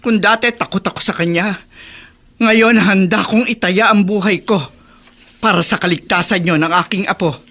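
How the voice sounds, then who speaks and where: A woman's voice: mid-range voice, moderate pace, a bit bright. An actress playing a protective, resolute grandmother sheltering her family in a radio drama.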